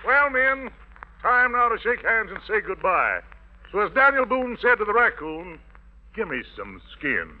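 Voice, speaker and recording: A man's voice: sits high, big pitch swings, a little slow, narrow, tinny audio, noisy background. An American man hosting a 1940s radio variety show in showy, playful jive patter, on an old recording. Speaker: master of ceremonies.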